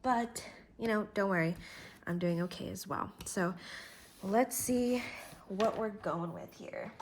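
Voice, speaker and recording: A woman with a slightly congested voice from a cold talks casually through her budget as she writes. She goes slowly and thinks aloud over each number, in a friendly, chatty way. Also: medium pitch, slight background noise. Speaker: vlogger doing her budget. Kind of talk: casual talk-through while writing.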